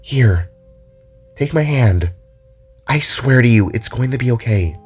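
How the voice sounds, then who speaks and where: A man voicing a playful, rebellious young female guitarist with a casual, halting way of talking in an audio drama. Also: mid-range voice, expressive, darkish tone, husky, faint music behind. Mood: soothing.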